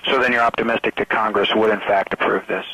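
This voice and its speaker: Man, American accent, low forceful voice, raspy edge, reporter questioning on a press call. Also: fairly even intonation, quick pace, low-quality recording. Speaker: television station reporter.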